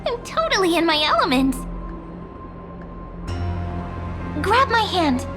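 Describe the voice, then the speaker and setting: A woman voicing a cute, mysterious young female memory sprite in a video game, playful and soothing, with drawn-out delivery. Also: very high voice, big pitch swings, loud music behind the voice. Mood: confident, inviting.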